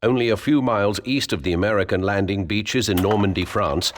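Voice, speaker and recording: A man narrating military history in a measured, grave, formal documentary style for a game. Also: on the low side, slight background noise, natural voice. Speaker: narrator of war history briefings.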